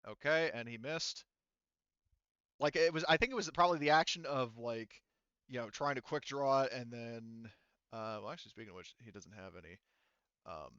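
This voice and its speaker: A man's voice: mid-range voice, fairly bright tone, clear, resonant tone, dry recording, muffled recording. A man acting as game master, casually narrating a tabletop game and explaining rules while thinking aloud.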